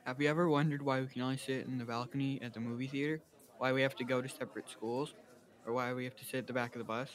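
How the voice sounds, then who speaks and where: Fast-talking, determined student protester acted by a man. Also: mid-range voice, fairly even intonation, close to an everyday voice. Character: polite, determined young male student protester. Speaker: student actor in a class radio drama. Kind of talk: amateur radio drama reading.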